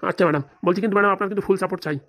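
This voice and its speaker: A woman voicing a smooth-talking, scheming male fixer, boastful and persuasive, with a rural Bengali accent in a comedy cartoon. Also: medium pitch, racing, a bit bright, natural voice. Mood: bargaining.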